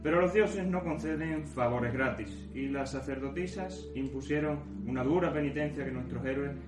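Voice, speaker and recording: A man's voice: a little high, moderate pace, natural voice. A man narrating a comic fantasy audio drama in a tongue-in-cheek, mock-solemn way. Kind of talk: scripted storybook-like fantasy narration. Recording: roomy echo with music behind.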